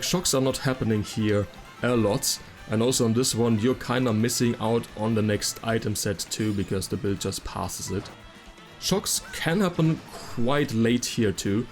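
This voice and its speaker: A man's voice: mid-range voice, a little mellow. A man giving casual, detailed explanatory commentary as a video game guide creator. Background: game music.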